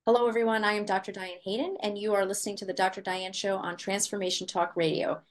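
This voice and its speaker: A woman hosts a talk radio show in a bright, emphatic, upbeat way. Her voice sits in a mid range for a woman. She reads her guest's introduction and then eases into interview questions. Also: mid-range voice, level tone, fast talker.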